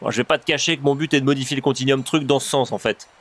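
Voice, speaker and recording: A man's voice: mid-range voice, very fast, fairly bright tone, close to an everyday voice. An actor playing a grumbling, hapless man in a comic audio drama, colloquial and sardonic. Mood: wry. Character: grumbling, hapless modern man Johnny, stranded in time-travel mishaps.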